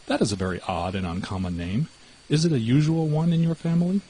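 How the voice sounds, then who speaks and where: A man's voice: on the high side, fast talker, warm tone, slight background noise, voice pushed up, close to an everyday voice. A man voicing a small, trusting female mouse in a storybook, earnest, wondering and given to exclaiming. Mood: astonished. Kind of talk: storybook character voice.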